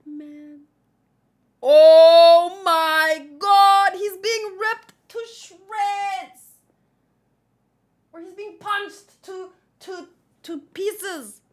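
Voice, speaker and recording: A woman doing an emotionally expressive read-along reaction, gushing and gasping as she goes. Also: extremely high pitch, very slow, pauses often. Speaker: manga reader reacting on video.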